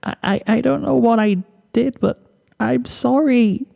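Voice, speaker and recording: A man's voice: extremely high pitch, unhurried, vocal fry, dark tone, voice pushed up, full character voice. A man voicing a timid, fragile young female pegasus who stammers meekly in a story reading. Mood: sobbing, apologetic. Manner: stammering, meek.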